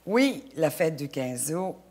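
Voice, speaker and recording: A middle-aged woman talks as a guest on an interview show. She speaks in a halting way, restarting and repeating words as she gathers her thoughts. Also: low-pitched, sing-song, darkish tone, breathy edge. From Acadia, Canada. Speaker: actress, guest. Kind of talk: casual interview chat.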